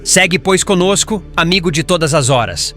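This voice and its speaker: A man's voice: high-pitched, lively intonation, normal speed, fairly bright tone, faint music behind. A man reading the part of a solemn, compassionate spirit mentor in an audiobook, with formal, elevated diction. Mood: prayerful. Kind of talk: scripted audiobook character reading.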